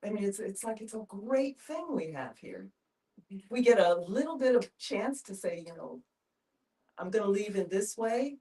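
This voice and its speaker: A woman speaking earnestly and haltingly in a reflective group discussion. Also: medium pitch, big pitch swings, warm tone, recorded from a distance.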